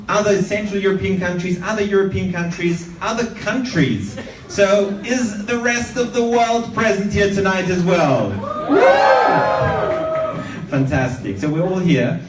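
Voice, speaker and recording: A man from Austria hosting a live event, cheerfully rallying the audience with shouted questions. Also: high voice. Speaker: event organizer and host. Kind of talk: upbeat crowd-work introduction. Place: large event venue indoors. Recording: echoey hall, distant mic, faint room noise.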